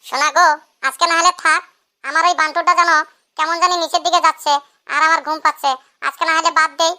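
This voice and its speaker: A performer voicing a grumbling, pestering drunkard husband in a comic cartoon, whining through a raised-pitch effect. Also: fairly even intonation, quick pace, pushed and bright, exaggerated voice. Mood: resigned.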